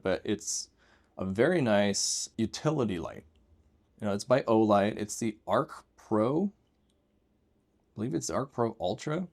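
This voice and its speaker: A man's voice: mid-range voice, a little mellow. A man hosting an informal show-and-tell vlog, talking in a loose, rambling, enthusiastic way. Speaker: video host showing everyday tech gear. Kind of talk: casual chat, show and tell.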